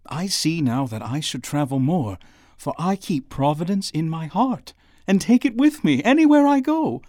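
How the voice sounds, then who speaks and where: An actor playing an eccentric, exuberant writer in a radio drama, with florid, theatrical, old-fashioned courtly speech. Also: male voice, fairly high pitch, expressive, moderate pace, a little mellow, no echo, studio quality, lightly acted. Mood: eager, courtly.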